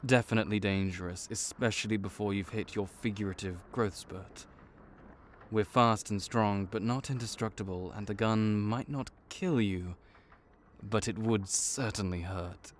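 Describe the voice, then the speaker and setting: A man's voice: on the low side, moderate pace, slight background noise, close to an everyday voice. A man voicing a laid-back vampire clerk and mentor in an audio roleplay, dry and wry as he speaks to the listener. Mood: easygoing.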